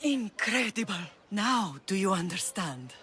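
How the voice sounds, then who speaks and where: A woman's voice: mid-range voice, sing-song, a little slow, fairly bright tone. A dignified, resolute Spartan mother. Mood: urgent resolve. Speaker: actor voicing a game character. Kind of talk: dramatic video game acting.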